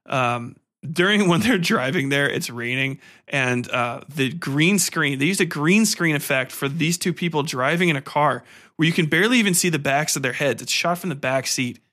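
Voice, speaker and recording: Bright-voiced man ranting casually, higher-pitched. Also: normal speed. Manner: profane, exasperated, mocking. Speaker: podcast host reviewing a film. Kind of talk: casual, rambling movie rant.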